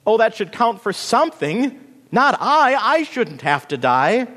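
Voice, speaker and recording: A man's voice: high voice, big pitch swings, measured pace, a bit bright, voice pushed up, full character voice. A man voicing a skinny, shivering female bean plant in a storybook, hardworking and aggrieved, shrieking and pleading. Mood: aggrieved panic. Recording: long hall reverb.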